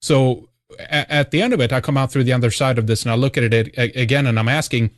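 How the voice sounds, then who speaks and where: Male radio host, mid-pitched, rambling question with restarts, very dry sound. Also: fast, dry recording. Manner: searching, stumbling, repeats words. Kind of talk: interview question.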